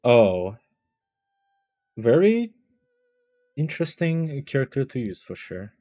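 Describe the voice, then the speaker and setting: A man's voice: mid-range voice, big pitch swings, slow pace, dark tone, soft background music. A man giving casual game livestream commentary, muttering and thinking aloud to himself.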